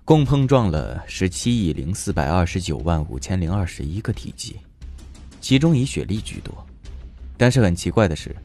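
An actor playing a brilliant, eccentric scientist in an audio drama, playfully curious and precise, faintly unsettling. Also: male voice, sits low, dark tone. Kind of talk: Chinese audio drama acting. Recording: music under the voice. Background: background music.

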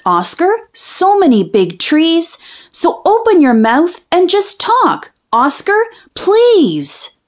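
A woman reading a children's picture book aloud in an expressive, sing-song storytelling manner, drawing out the rhyming lines. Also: sits high.

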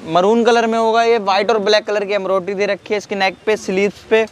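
A man's voice: high voice, flat delivery, fast talker. A man, presenting products as a salesman in a matter-of-fact, repetitive way. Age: 20s. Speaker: clothing shop salesman. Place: clothing warehouse stockroom. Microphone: lavalier on T-shirt.

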